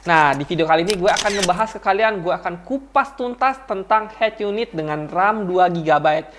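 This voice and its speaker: A woman's voice: lowish voice, normal speed, noisy background. A woman giving a chatty, slangy product review, talking straight to her viewers. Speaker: car audio reviewer.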